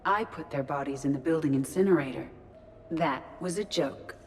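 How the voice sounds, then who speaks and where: A deadpan, formal female AI. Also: deep voice, normal speed, long reverb tail, a little hiss or hum. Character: polite female artificial intelligence, literal, dryly joking. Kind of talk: video game voice acting.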